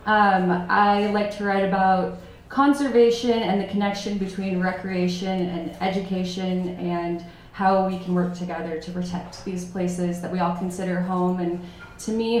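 A woman's voice: lowish voice, a little hiss or hum. A woman, a writer and trail runner, introducing herself on a panel in a fluent, thoughtful way. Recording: echoey room.

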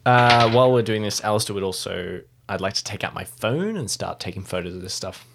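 Lively-pitched man at a tabletop game. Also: a little fast, creaky, background noise. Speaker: player in a tabletop roleplaying game. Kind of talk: casual improvised play.

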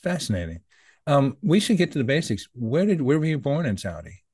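A man's voice: mid-range voice, big pitch swings, fairly quick, darkish tone, breathy edge, dry recording, studio quality. A man hosting a podcast interview, asking curious questions and often stumbling and repeating words. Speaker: podcast host.

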